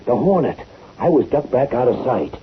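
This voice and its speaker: An actor playing a tough, cocksure hired killer in an old radio drama, talking in blunt streetwise slang. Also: male voice, mid-range voice, expressive, normal speed, lightly acted. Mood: uneasy. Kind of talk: 1930s-40s radio acting. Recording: muffled, noisy vintage radio recording.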